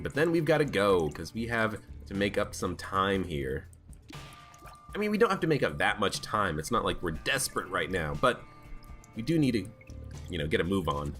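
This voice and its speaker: A man with a stuffed-up, hoarse voice, chatting casually as he plays a video game. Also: lowish voice, fairly bright tone. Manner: chatty, thinking aloud while playing. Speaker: gaming channel host. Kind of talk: casual let's play commentary. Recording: background game music.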